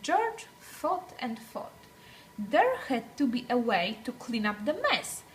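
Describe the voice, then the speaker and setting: A woman reads a children's story at a very unhurried pace. Her voice swings widely in pitch as she stresses words for dramatic effect. There is faint noise behind her. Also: big pitch swings, low-quality recording. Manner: deliberate, dramatic phrasing with emphasized words. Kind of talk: expressive picture-book read-aloud for young children.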